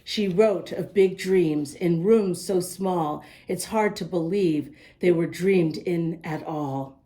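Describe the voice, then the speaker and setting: A woman's voice: fairly low pitch, slow pace, dark tone. A woman reading a rhyming picture book aloud as host, speaking directly to young listeners. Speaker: children's book reader and host.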